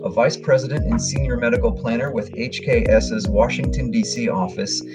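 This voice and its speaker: A man hosting an interview podcast, asking questions in a friendly, halting way with frequent fillers. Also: mid-range voice, loud music behind the voice. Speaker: podcast host.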